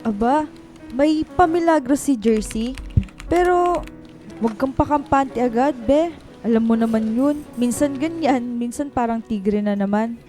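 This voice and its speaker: Actress as supportive Filipino coworker, frank and conversational, mellow higher voice, radio drama with music underneath. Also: female voice, measured pace, lightly acted. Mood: teasing, cautioning. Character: frank, supportive office friend giving advice. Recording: music bed under dialogue.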